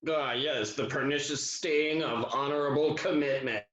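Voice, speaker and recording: An actor playing a wry, sardonic young English gentleman soldier in a Restoration comedy, declaiming with dry mockery. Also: medium pitch, fairly even intonation, normal speed, reverberant, a little hiss or hum, close to an everyday voice, poor audio. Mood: mocking. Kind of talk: Restoration comedy stage acting.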